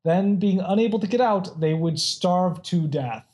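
A man's voice: sits high, a little slow, a little mellow. A man casually chatting and reading out odd facts, rambling with many restarts and frequent laughs.

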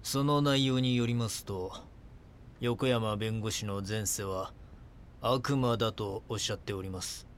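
A man playing a solemn cult founder in an audio drama, speaking in formal, measured, preaching pronouncements. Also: on the low side, slight background noise, natural voice. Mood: solemn, condemning. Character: solemn male cult founder claiming divine revelations.

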